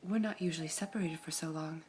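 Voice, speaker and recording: A woman voicing a serene all-seeing female gem in an ASMR roleplay, whispering softly in a measured, formal way. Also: sits low, faint music behind, close to an everyday voice. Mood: calm whispering.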